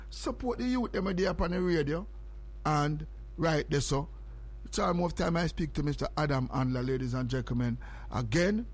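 A man's voice: fairly high pitch, breathy edge. A man with a Jamaican accent hosting a morning radio show, repeating phrases and numbers over and over to hype his listeners. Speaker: radio host.

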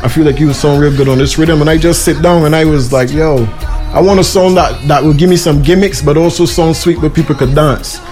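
A young man from Guyana with a Bajan accent, a soca artist giving a casual, laid-back radio interview full of shout-outs. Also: on the high side, fairly even intonation, fairly quick, faint music behind.

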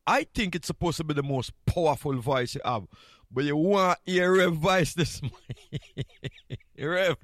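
A man's voice: on the high side, normal speed, dry recording. Jovial Jamaican man hosting morning radio. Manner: jovial, chuckling, repeats greetings. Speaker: radio host sitting in. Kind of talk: casual morning radio chat.

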